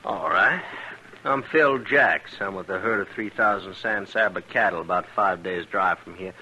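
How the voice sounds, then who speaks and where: Surly texas trail cowboy, terse, dry humor, sharp midrange voice, hissy old radio drama. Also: male voice, sits low, moderate pace. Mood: blunt, guarded. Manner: terse, challenging. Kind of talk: 1950s radio western acting. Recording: noisy old radio recording.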